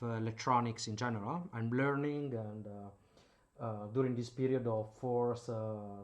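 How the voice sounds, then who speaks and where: A man from Italy with an Italian accent, explaining radio gear at his home workbench in a hesitant way, full of filler sounds. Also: mid-range voice. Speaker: hobbyist channel host. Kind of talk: casual explanatory demo.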